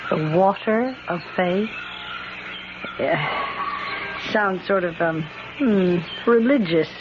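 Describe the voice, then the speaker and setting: A woman's voice: lowish voice, very slow, over loud music. An actress playing a polite, curious, motherly lady with a hesitant, tactful manner in a 1970s radio drama. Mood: gently doubtful. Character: polite, curious, motherly park-bench lady, gently doubtful. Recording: dull, noisy old radio broadcast.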